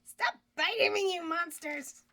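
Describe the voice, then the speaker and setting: A woman's voice: very high-pitched, unhurried, sharp tone, heavily acted. A woman reading a flirty, bawdy horror hostess at a table read. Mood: pained. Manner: bawdy, giggly. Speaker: cast member in table read.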